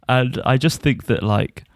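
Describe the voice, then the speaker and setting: A man's voice: medium pitch, no room sound, studio quality. A man co-hosting a podcast, giving a casual review and often chuckling as he talks. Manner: chuckles often while talking.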